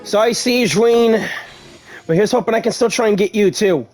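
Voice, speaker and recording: A man's voice: high voice, moderate pace, darkish tone, soft background music. A man giving casual let's-play commentary as a gaming YouTuber.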